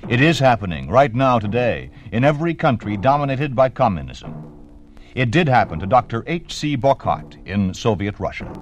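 An American man announcing in formal, solemn 1950s radio style with deliberate emphasis. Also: medium pitch, big pitch swings. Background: dramatic music.

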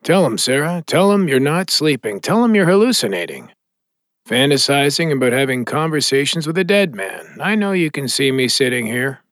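A man's voice: mid-range voice, expressive, normal speed, a bit bright, dry recording, studio quality, natural voice. A man playing a hallucinated dead man who needles and mocks in a blunt, sardonic way in an audio drama. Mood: accusing. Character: taunting hallucinated dead man, mocking and controlling.

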